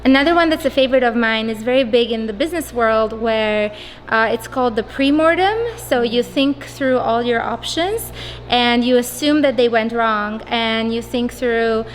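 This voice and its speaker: Higher-voiced Albanian woman in an echoey room. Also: normal speed, slight background noise. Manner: thoughtful, many ums and uhs. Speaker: guest, app founder. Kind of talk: casual interview.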